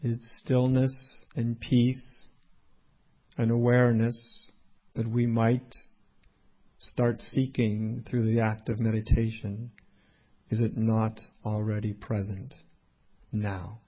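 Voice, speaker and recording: A man leading a guided meditation as a teacher, speaking unhurriedly in short phrases with long pauses. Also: mid-range voice, level tone, muffled recording.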